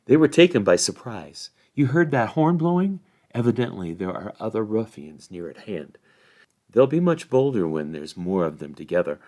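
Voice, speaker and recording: A man's voice: lowish voice, big pitch swings, moderate pace, a little mellow. A man voicing a blunt, incredulous young hobbit in a fantasy storybook, given to exclaiming. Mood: wary. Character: indignant, blunt young hobbit, startled and wary.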